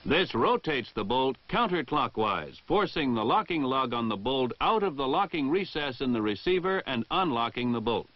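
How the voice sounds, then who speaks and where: A man's voice: medium pitch, sing-song. American man narrating, dull vintage film sound. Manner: formal, precise, measured enunciation. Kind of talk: scripted instructional narration, 1960 military training film.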